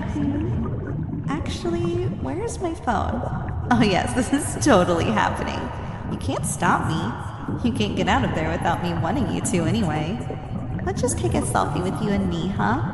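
A woman playing a playful, possessive but affectionate slime girl roommate in an ASMR roleplay, speaking softly and breathily with a teasing manner. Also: medium pitch. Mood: playful, gloating. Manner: playful, teasing, slow and savoring.

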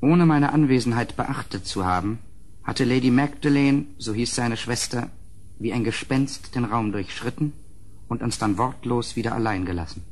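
An actor playing an uneasy gentleman who recounts a gothic horror in an audio drama, in a measured, grave, literary manner. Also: mid-range voice, natural voice. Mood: grave, suspenseful. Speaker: narrator and character.

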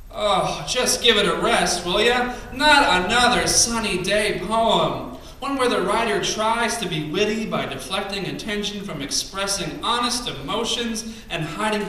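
A man in his thirties reading his poems on a small cafe stage, emphatic and rhythmic, with quiet asides between poems. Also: sits high. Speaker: spoken word poet. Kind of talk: spoken word poetry reading. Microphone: stand mic, far camera. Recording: echoey venue, camera from far off.

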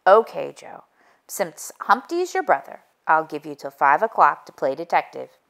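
A woman's voice: sits low, sing-song, normal speed, vocal fry, fairly bright tone, exaggerated voice. A woman voicing a no-nonsense female goose police chief in a storybook. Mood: grudging. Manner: authoritative, no-nonsense.

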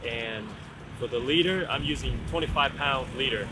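A young man vlogging while fishing on an outdoor sea pier, chatty and excited, talking to viewers into a camera mic. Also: medium pitch, moderate pace, background noise. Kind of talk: casual vlog narration while fishing. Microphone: camera-mounted shotgun mic.

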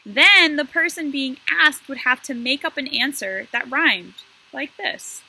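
A woman in her thirties talking to first graders as a guest story reader, explaining things simply and in an animated way. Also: high voice, unhurried, vocal fry, rough recording. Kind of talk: addressing young children, explanatory read-aloud intro. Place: outdoor covered patio.

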